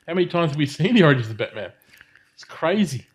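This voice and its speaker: A man's voice: fairly high pitch, big pitch swings, quick pace, breathy edge. A man co-hosting a podcast, chatting casually about films with lots of fillers and restarts. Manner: rambling, many fillers and restarts. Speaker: podcast co-host. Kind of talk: casual film discussion.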